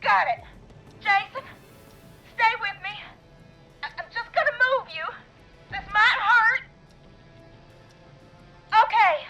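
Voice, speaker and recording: A woman's voice: very high voice, animated, slow pace, long pauses, pushed and bright, faint music behind, exaggerated voice, rough recording. A woman playing an anxious, stammering young woman tending a wounded friend in a dramatic horror game. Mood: flustered, urgent.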